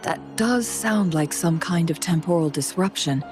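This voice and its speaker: A woman voices a poised noblewoman advisor in a video game. Her voice is fairly low and warmly mellow, with measured, gently probing delivery over faint music. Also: natural voice. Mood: calm, analytical.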